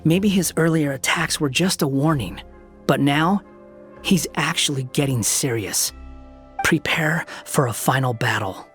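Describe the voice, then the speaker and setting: An actor voicing an aloof, world-weary young man in an anime game, cynical and sharp-tongued, with a dry, dismissive manner. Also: on the high side, measured pace, faint music behind. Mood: grim, matter-of-fact. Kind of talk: dramatic anime game voice acting.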